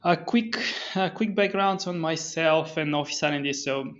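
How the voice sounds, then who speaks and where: A man's voice: a little high, normal speed, muffled recording. A man, a company founder guest on a podcast interview, talking with many fillers and restarts. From Bulgaria.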